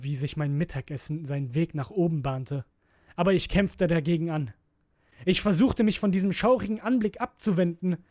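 A man's voice: on the high side, a little mellow, dry recording, clean studio sound. A man narrating a scripted horror story in a brooding, theatrical manner. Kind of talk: dramatic scripted narration of a creepypasta.

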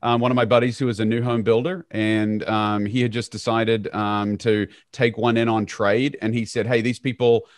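A fairly low-voiced man, speaking as an interview guest. He talks in a relaxed, easygoing way, with plenty of "um"s. His voice comes through a close studio mic and sounds dry, with no room echo. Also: moderate pace, few pauses. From New Zealand. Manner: relaxed, frequent "um" fillers, self-deprecating. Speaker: guest, real estate CEO. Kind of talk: conversational storytelling interview. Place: small home office, indoors. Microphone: studio mic on boom arm, video call.

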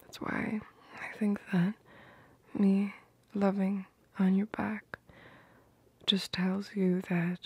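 A woman plays a protective, playful girlfriend in a romantic ASMR roleplay. Her voice is very breathy and whispery, with a creaky edge. She murmurs slowly and tenderly, right up close in a dry space. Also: mid-range voice, level tone, no echo, muffled recording, heavily acted. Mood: tender, reassuring. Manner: slow, intimate, tender murmuring. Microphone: close microphone.

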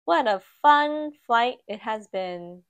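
Slow, gentle woman reading a storybook. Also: on the high side, dry recording. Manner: slow, gentle and expressive, lifting for quoted lines. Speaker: children's book reader. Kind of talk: read-aloud storytime narration. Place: indoors at a table, book filmed from above.

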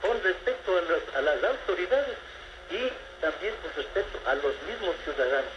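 Older caller in his seventies, slightly high voice, rambling and formal, on a muffled line. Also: expressive, normal speed. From Ecuador. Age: 70s. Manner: rambling, formal and polite, with many restarts. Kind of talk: phone-in complaint to a radio show. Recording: echoey, muffled phone-in with background noise.

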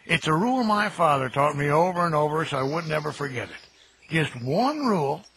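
Birdsong and outdoor noise surround a kindly, folksy American caretaker in his fifties. His voice sits a little high and moves around freely. He talks in a plain, neighborly way, as in a radio play. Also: lively intonation, normal speed, a bit bright. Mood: teasing, earnest. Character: folksy, kindly middle-aged graveyard caretaker who chats with the dead. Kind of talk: audio drama acting. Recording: noisy outdoor ambience with birdsong.

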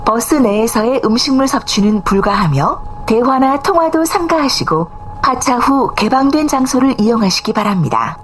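A woman with a high voice gives scripted transit announcements. Her delivery is formal yet melodic, with faint noise on the recording. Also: lively intonation, normal speed. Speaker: bus announcer. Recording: faintly noisy announcement audio.

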